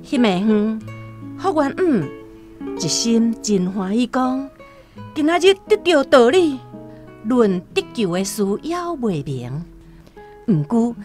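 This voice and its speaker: A woman with a Taiwanese accent narrating a scripted literary radio story expressively. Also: fairly high pitch. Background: soft plucked-string music.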